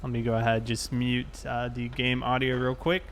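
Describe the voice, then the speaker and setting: A man's voice: medium pitch, monotone, moderate pace, over a noisy crowd. A man hosting a roleplay game livestream, chatting casually with his viewers.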